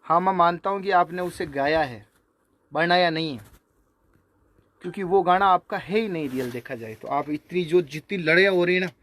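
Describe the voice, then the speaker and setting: A man speaking informally to viewers, remonstrating in a halting, repetitive way. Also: on the high side, fairly bright tone. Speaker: singer. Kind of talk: informal spoken video response to viewers.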